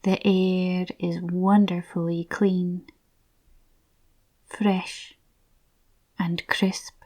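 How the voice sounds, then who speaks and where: A woman softly narrating a scripted guided visualisation in a soothing, breathy, near-whispered voice. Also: on the low side, little pitch movement, drawn-out words.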